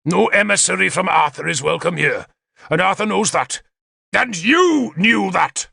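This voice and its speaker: A male narrator acts out a haughty warlord king from a historical storybook. He declaims with menace and contempt, his voice pushed high and sharp, with some gravel in it, very close and dry. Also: normal speed, no echo, full character voice. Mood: furious.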